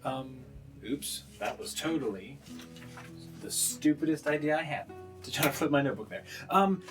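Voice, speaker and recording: A man narrating a live tabletop roleplaying game as game master, casual and halting as he improvises. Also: medium pitch, lively intonation. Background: plucked guitar music.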